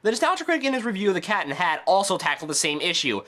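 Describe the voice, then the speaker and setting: A man's voice: sits high, very animated, very fast, sharp tone. A man delivering scripted review commentary with opinionated, sarcastic asides. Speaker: video reviewer.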